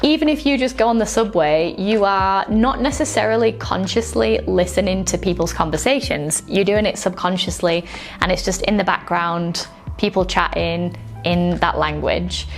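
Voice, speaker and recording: A woman's voice: medium pitch, normal speed, faint music behind. A young woman with a light Yorkshire accent, talking casually as an English teacher in an explainer video, with frequent "like" and "um" fillers.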